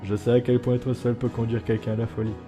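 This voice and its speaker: A man playing a weary, guarded skeleton with a gentle, earnest streak in a dramatic comic dub. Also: medium pitch, level tone, fast talker, lightly acted. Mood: firm, wounded. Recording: long reverb with music underneath.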